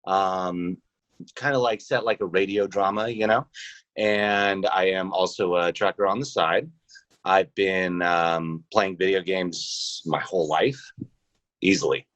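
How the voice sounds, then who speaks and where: A man in his thirties speaks in a fairly low voice. He is a podcast guest talking casually, and the sound is dry with no room echo. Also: steady tone, unhurried, fairly bright tone, lo-fi sound. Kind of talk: casual chat.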